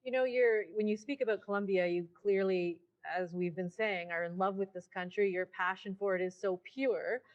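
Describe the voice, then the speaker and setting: A woman's voice: medium pitch, moderate pace, hardly any pauses, dull audio. A woman interviewer asking long, probing questions that wander before getting to the point.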